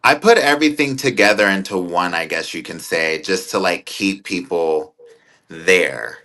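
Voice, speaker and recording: A man's voice: low-pitched, a little slow, pushed and bright. A man in his twenties talking casually as an interview guest, rambling and thoughtful with many fillers. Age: 20s. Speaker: guest content creator.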